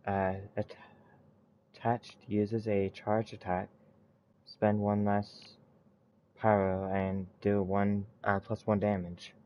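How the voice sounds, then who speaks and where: A man giving casual gameplay commentary, haltingly reading text aloud and muttering to himself. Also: fairly low pitch, little pitch movement, darkish tone, lo-fi sound. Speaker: gaming streamer. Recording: faint background noise.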